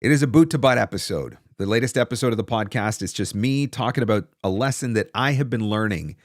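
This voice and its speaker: Low-voiced man chatting on a livestream. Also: fast, no room sound, clean studio sound. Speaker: livestream host, voice-over coach. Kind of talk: casual livestream advice talk. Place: small home studio room, indoors. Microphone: close desktop broadcast microphone.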